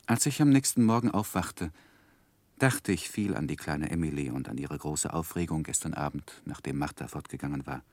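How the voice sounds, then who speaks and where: An actor playing a grown man recalling his life, narrating a 1960s German radio drama in a measured, reflective, wistful way. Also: very deep voice, darkish tone, no room sound. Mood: wistful, grave.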